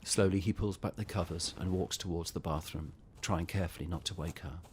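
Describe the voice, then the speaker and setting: A man narrating a horror audio drama in a grim, measured, suspenseful manner. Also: deep voice, slight background noise, natural voice. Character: grim male narrator of a zombie-outbreak story. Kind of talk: scripted dramatic narration, present tense.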